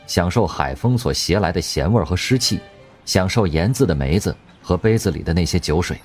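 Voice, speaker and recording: A man narrating an audio drama in a scripted, measured literary storytelling style. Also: deep voice, darkish tone, husky.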